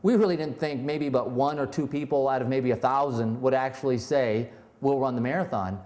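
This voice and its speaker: A man's voice: mid-range voice, fairly quick, a little mellow. Candid, self-deprecating pastor in his forties. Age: 40s. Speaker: lead pastor. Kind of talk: testimonial interview. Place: indoor room with brick wall.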